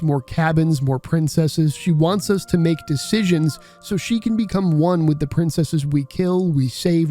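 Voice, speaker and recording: A man's voice: on the high side, warm tone. A man reading scripted video essay narration in a wry, casual way.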